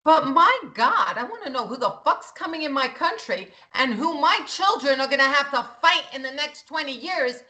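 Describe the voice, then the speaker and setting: This woman talks with a bright, forceful edge and sits a little high in pitch. She co-hosts a talk show and speaks with heat and bluntness, and the swearing comes easily. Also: expressive, moderate pace, hardly any pauses. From Greek heritage. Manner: impassioned, blunt, swears freely. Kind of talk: heated political talk.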